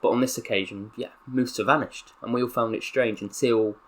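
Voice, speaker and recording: A man's voice: medium pitch, a little fast. A young man with a Southern English accent, playing a career-mode footballer who reads out a mock-solemn press statement. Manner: mock-solemn with bursts of excitable commentary. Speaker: gaming YouTuber.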